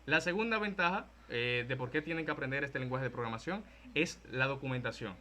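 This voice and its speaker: A man's voice: mid-range voice, expressive, a little fast, pushed and bright. A man in his early twenties with a Dominican Spanish accent, presenting a casual programming talk with slides and the odd joke. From Dominican Republic. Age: 20s. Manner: casual, joking, with frequent fillers and restarts.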